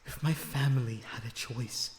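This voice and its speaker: A man playing a lonesome captive vampire in an audio roleplay, weary, bitter and sardonic, with measured dramatic phrasing. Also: mid-range voice, steady tone, close to an everyday voice. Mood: bitter, defiant grief. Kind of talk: ASMR audio roleplay acting. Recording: echoey reverberant room with background noise.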